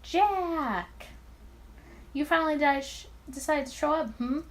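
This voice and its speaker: A woman voicing a sly, smooth-talking, flirtatious male speakeasy owner in a theatrical drawl, in a visual novel game. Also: high-pitched, measured pace, pitched above the performer's own voice. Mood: sly, teasing.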